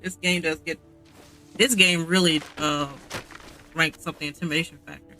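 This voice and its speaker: A man commentates casually on a video game. His voice is strikingly bright and forward, pitched a little high. He rambles, thinking out loud as he goes. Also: fairly even intonation, normal speed, soft background music. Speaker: gamer commenting on gameplay.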